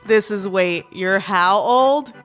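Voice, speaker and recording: A woman's voice: medium pitch, takes it slowly, fairly bright tone, soft background music. A woman in her forties co-hosting a podcast in casual, frank, slangy conversation. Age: 40s. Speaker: podcast co-host.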